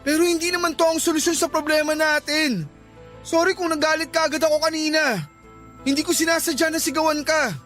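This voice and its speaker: A man's voice: very high-pitched, steady tone, fairly quick, fairly bright tone. A man playing a jealous, clingy and desperate boyfriend in a radio drama, insistent in manner. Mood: pleading. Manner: insistent, pleading. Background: music.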